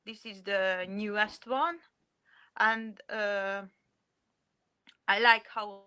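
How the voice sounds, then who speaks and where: A woman's voice: mid-range voice, fairly even intonation, drawn-out words, bright, forceful tone, clean tone, no echo. A Hungarian woman speaking English with a Hungarian accent, a mother answering interview questions hesitantly with many fillers. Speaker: mother, guest.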